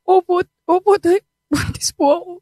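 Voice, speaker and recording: A woman's voice: very high-pitched, steady tone, moderate pace, dark tone, no room sound, clean studio sound. A woman playing a distraught, resentful teenage daughter in a radio drama, pleading and emotional. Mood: nervous, ashamed.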